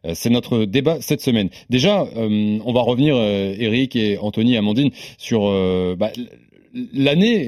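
A man hosting a tennis podcast, introducing guests with quick joking asides. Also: medium pitch, darkish tone, no echo, studio quality. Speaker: podcast host. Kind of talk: casual sports podcast chat.

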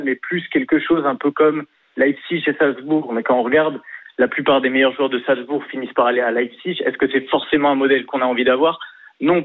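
A man calling a radio phone-in as a football supporter, explaining his worries in a measured way over the phone. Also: mid-range voice. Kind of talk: live phone-in opinion talk. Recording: tinny phone line to radio.

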